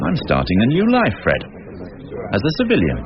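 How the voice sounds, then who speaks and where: A weary police inspector in a radio crime drama. He is low-pitched and self-reproaching, with widely swinging intonation. The recording is dull, with some noise. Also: huge pitch swings, a little fast, crowd noise. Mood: resigned, friendly. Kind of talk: British radio drama acting.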